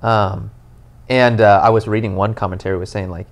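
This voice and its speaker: Male pastor, 30s, low gravelly voice; earnest, animated podcast chat on a lapel mic. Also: a little fast. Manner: animated, earnest storytelling with many "you know" fillers. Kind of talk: casual podcast conversation. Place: living room, on a couch, indoors.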